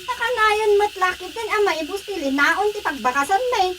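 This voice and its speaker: An actor playing an excitable poor villager in an Ilocano radio comedy. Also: extremely high pitch, fairly quick, over a noisy crowd. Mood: complaining.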